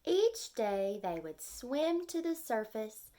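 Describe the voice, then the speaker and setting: A woman teacher speaking to young children in simple, clearly phrased language, as in a storytime lesson with reading aloud and explaining. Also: fairly high pitch, big pitch swings, slow, warm tone.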